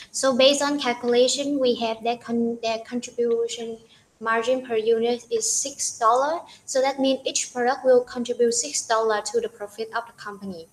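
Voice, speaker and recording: A woman giving a class presentation, reading out figures with some hesitation. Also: on the high side. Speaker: student presenter. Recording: echoey room with faint noise.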